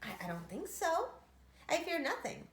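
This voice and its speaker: A woman voicing a plucky, eager young girl in a storybook, earnest and expressive. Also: very high-pitched, normal speed, slight background noise, voice pushed up, heavily acted. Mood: hesitant. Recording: echoey room.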